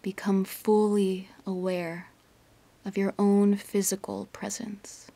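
Soft breathy lady doing a guided meditation, really slow, bit of creak in her voice, almost whispering. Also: mid-range voice, flat delivery. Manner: gentle, unhurried instructions with long pauses. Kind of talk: guided yoga nidra meditation.

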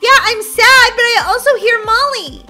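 A woman's voice: very high voice, expressive, normal speed, bright, forceful tone, soft background music, pitched above the performer's own voice, exaggerated voice. A woman voicing an anxious, melodramatic schoolgirl in a plaintive way. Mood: sad. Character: anxious, dramatic schoolgirl grieving her best friend.

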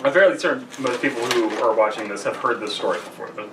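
A man in his twenties with a mid-pitched voice talks casually and dryly, swearing a bit as he corrects or reads. He is recorded from across a small room with faint noise. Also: fast, roomy sound. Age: 20s. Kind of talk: casual chat, reading a story aloud. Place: small room, group on couches. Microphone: far camera. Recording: distant camera mic, room noise.

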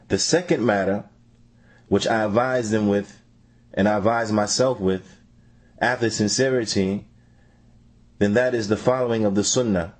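A man reading out a translated religious lecture in a deliberate, phrase-by-phrase manner, restating points as he goes. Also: lowish voice. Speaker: translator reading a scholar's answers. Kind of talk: measured religious lecture translation, read aloud.

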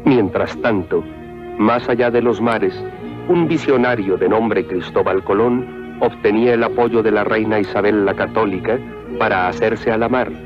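A man narrating history from a script in a solemn, formal, measured way. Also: on the low side. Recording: muffled lo-fi old film soundtrack. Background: loud music.

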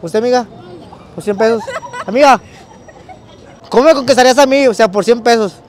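A man's voice: very high voice, brisk, a faint crowd, a little hiss or hum. A man doing a teasing street interview with a handheld mic on a city street. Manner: joking, teasing, laughs often.

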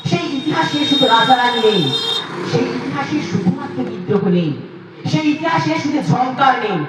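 A man, a poet, giving a dramatic, declamatory recitation of verse with emphatic repeated phrases. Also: very high-pitched. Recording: very echoey, distant mic, faint noise.

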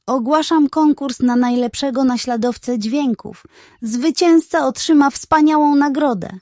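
A woman voicing a sly, self-satisfied male pug, boastful and excitable, in a children's audiobook. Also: high voice, measured pace, dry recording, studio quality, pitched above the performer's own voice. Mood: grandly announcing.

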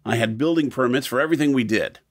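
Level-headed man, played by an actor, medium pitch, matter-of-fact, audio drama dialogue, dry sound. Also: fast talker, no room sound, close to an everyday voice. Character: practical, level-headed man planning a mission.

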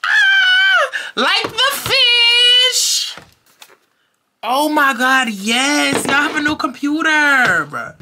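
A young woman in her late teens vlogging casually to a handheld camera, excitable and full of slangy exclamations and squeals. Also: high-pitched, extremely slow, shouting, very noisy. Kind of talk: casual vlog chat to camera. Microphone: handheld camera close to face.

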